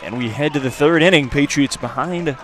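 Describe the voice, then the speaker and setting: A man's voice: on the high side, fairly quick, fairly bright tone, a faint crowd. Male baseball announcer over outdoor ballpark noise. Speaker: play-by-play sports announcer. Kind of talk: live baseball radio commentary.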